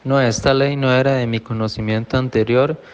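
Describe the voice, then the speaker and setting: A man's voice: mid-range voice, steady tone, moderate pace, clean tone, lo-fi sound. A man, giving formal answers as a student interviewee.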